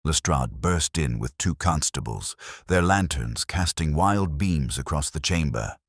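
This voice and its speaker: A man narrating a detective story in a measured, dramatic, scripted style. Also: rumbling low, animated, creaky, slightly rough, no room sound, clean studio sound. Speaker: audiobook narrator.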